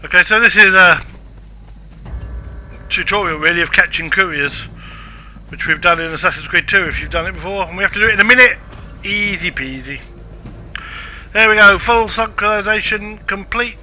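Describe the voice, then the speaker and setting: A man's voice: high voice, moderate pace, long pauses, bright, forceful tone, slight background noise, poor audio. A man casually commenting on a game walkthrough, chatty and self-deprecating. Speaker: gameplay walkthrough narrator.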